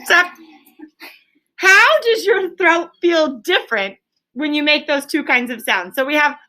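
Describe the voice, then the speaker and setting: A woman's voice: sits high, measured pace, pushed and bright. A woman teaching an elementary class, quizzing pupils in a firm, directive manner. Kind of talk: classroom lesson with question and answer.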